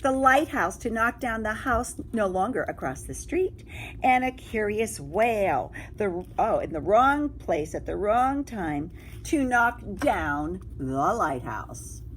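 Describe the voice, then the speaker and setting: A woman teacher reading a picture book aloud to children, expressive and exclaiming, often breaking off to draw her listeners in with questions. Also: mid-range voice, slow, a little hiss or hum, rough recording. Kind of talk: read-aloud storytelling with asides and questions to young listeners.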